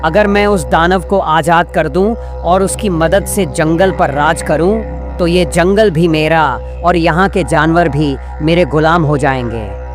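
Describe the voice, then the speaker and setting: A man voicing a cunning, smooth-talking wolf in a cartoon moral story, persuasive and falsely humble. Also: fairly high pitch, measured pace, music in the background, close to an everyday voice. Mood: scheming.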